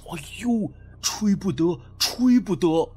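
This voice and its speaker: A man voicing a timid, superstitious, excitable village friend in an audio story, with hesitant, stammering speech full of interjections. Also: high voice, takes it slowly, breathy edge, voice pushed up, heavily acted. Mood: nervous, urging.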